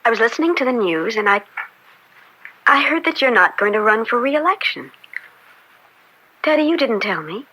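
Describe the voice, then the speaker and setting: An actress playing a devoted, anxious young daughter in a radio drama, speaking hesitantly and pleadingly. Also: female voice, a little high, very animated, normal speed, pauses often, exaggerated voice, rough recording. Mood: hesitant, troubled. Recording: bright old-time radio recording.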